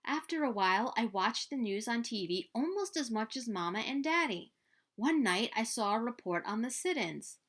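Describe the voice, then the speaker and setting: A woman narrates a children's story aloud. Her voice sits a little high and moves up and down with great animation, as an expressive storyteller's does. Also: moderate pace. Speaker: children's story reader at a library. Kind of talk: expressive picture-book read-aloud narration.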